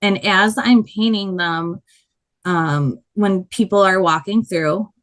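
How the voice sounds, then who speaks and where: A woman with a loud voice, a body painter telling her story in a casual interview, animated and quick to laugh. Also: mid-range voice.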